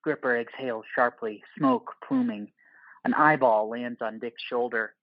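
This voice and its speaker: Male narrator, medium pitch, terse scripted stage directions, dry, slightly dull recording. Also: measured pace, no room sound. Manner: terse, clipped screenplay phrasing.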